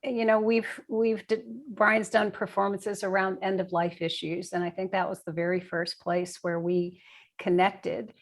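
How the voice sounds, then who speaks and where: A woman's voice: medium pitch, flat delivery, measured pace. A woman speaking reflectively in an interview, searching for words and repeating phrases as she goes. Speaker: guest, nursing and bioethics professor.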